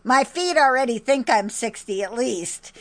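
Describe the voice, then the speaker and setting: Chatty American woman, slightly high voice. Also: expressive, unhurried, noisy background. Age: 50s. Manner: talkative, enthusiastic. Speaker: podcast host, mobile pet groomer. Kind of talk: chatty podcast hosting.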